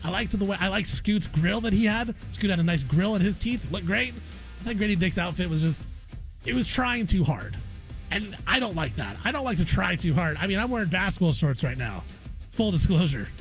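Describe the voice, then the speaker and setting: A man's voice: high-pitched, expressive, a little fast, faint music behind. A man hosting sports radio in a casual, opinionated way, repeating phrases for emphasis. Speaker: sports radio guest host.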